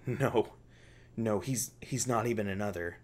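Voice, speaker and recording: A man playing a shaken, traumatized researcher in an audio roleplay, speaking in a halting, stammering monologue. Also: on the low side. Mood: urgent, fearful. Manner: halting, stammering, faltering delivery. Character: shaken, traumatized male researcher recounting torment. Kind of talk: ASMR roleplay acting, intimate monologue.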